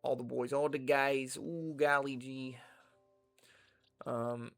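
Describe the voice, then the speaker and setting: Gravelly young American man chatting. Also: mid-range voice, level tone, slow pace, a bit bright, soft background music. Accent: General American. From United States. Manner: rambling, chatty, talks to himself while playing. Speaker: streamer. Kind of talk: casual gameplay commentary.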